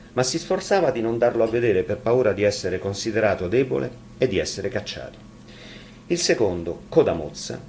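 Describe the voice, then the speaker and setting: A man's voice: fairly low pitch, darkish tone, slight background noise. A man reading a fairy tale expressively, as a storyteller.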